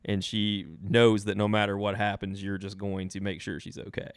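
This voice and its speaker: A man's voice: on the low side, completely flat delivery, normal speed, clean tone, no echo, studio quality. A man co-hosting a podcast, telling personal stories in casual chat and laughing often as he talks. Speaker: podcast co-host.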